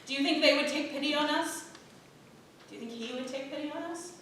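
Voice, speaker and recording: A resolute, guarded wife in a period play, acted by a woman, speaks with measured, earnest weight. The sound is distant and very echoey, as from a stage. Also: a bit bright. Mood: defiant, pleading. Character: weary, resolute, guarded wife keeping her husband's secrets. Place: theatre stage. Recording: roomy echoing stage, distant mic, rumble.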